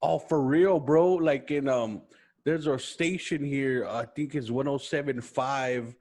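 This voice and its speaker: A man's voice: medium pitch, unhurried, darkish tone. A man in his thirties hosting a podcast, chatting loosely, swearing a lot and calling his guest bro. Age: 30s. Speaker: podcast host. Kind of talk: casual chat.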